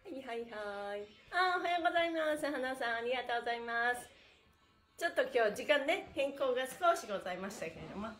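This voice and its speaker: High-pitched cheerful woman leading stretches. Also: animated, fast talker, fairly bright tone, faint music behind. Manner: cheerful, smiling, polite, encouraging delivery. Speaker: stretching instructor and pantomime performer. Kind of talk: live-streamed exercise lesson with counting chants. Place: small indoor room on an exercise mat. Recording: roomy echo from camera distance.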